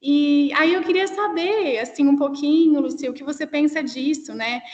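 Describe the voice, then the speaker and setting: High-voiced woman with countryside São Paulo accent. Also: normal speed, roomy sound, in a large hall, dull audio. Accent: São Paulo countryside (caipira) Brazilian Portuguese. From interior of São Paulo state, Brazil. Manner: hesitant, thinking aloud, frequent "né?" tags, laughs lightly. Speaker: cultural producer asking a question. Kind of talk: online panel question. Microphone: video call.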